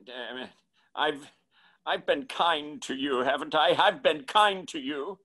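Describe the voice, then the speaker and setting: Man acting a high-pitched, frightened old man. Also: unhurried, sharp tone. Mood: pleading, alarmed. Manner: stammering, crying out in alarm. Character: frightened old man woken at night, pleading. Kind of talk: old-time radio horror acting.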